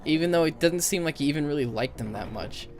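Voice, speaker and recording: Animated man giving opinionated game commentary. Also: fairly high pitch, fast, soft background music. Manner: opinionated, swears casually. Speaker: video commentator. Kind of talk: casual critical game commentary.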